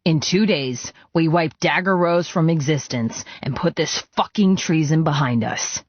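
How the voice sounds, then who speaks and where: A man's voice: fairly high pitch, measured pace, no room sound, muffled recording, pitched above the performer's own voice. Curt, tough biker gang boss acted by a man. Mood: commanding. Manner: curt, commanding.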